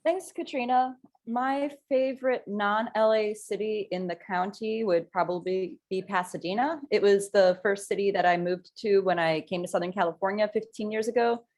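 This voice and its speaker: A woman's voice: medium pitch, moderate pace, a little mellow. A woman from an advocacy group giving upbeat news at a casual online meeting.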